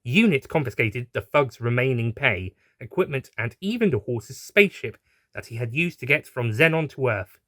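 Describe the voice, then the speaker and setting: A man's voice: medium pitch, expressive, normal speed, a bit bright, no room sound, clean studio sound. A man reading a scripted adventure story as its narrator.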